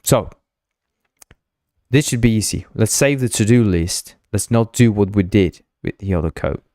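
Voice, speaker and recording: A man's voice: on the low side, normal speed, dark tone, dry recording, clean studio sound. A man whose first language is Spanish, hosting a casual live coding stream, thinking aloud, repeating himself and playfully teasing his chat. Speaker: game developer streaming live.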